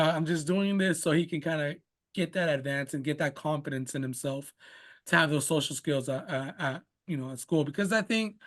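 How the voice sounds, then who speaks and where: A young father, a native Spanish speaker, rambles easily through stories about his son. His voice sits a touch higher than most men's, and he keeps reaching for "you know" and "like" in a relaxed, unscripted way. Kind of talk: casual conversational interview.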